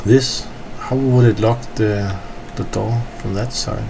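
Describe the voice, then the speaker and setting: A man's voice: lowish voice, fairly even intonation, takes it slowly, vocal fry, background noise. A tired man from Denmark with a Danish accent, rambling with hesitant fillers as he tours his in-game hangar. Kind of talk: casual game walkthrough commentary.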